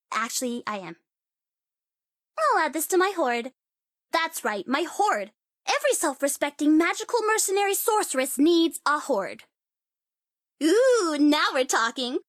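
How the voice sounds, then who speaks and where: High-pitched, cocky sorceress. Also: female voice, fairly bright tone, no echo, studio quality, full character voice. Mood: gleeful, greedy. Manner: theatrical, teasing, swinging into wry asides. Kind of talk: video game character voice lines.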